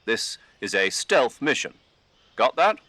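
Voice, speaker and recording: An actor playing an authoritative army major in a video game, giving formal, emphatic mission briefings. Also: male voice, medium pitch, sing-song, slow, bright, forceful tone, dry recording, heavily acted. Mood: stern, urgent. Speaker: commanding officer.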